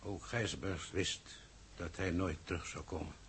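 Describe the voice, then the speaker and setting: An actor playing a grave, secretive, authoritative government minister in a 1960s Dutch radio play, speaking formally and with measure. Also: male voice, deep voice, fairly even intonation, unhurried, fairly bright tone, a little hiss or hum. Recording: old 1960s radio drama recording.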